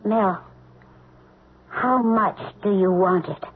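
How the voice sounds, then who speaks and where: An actress playing an anxious, ambitious politician's wife who presses with questions, in old-time radio drama style. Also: female voice, medium pitch, slow pace, breathy edge, phone-quality sound. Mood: urging. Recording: noisy, thin band-limited old radio recording.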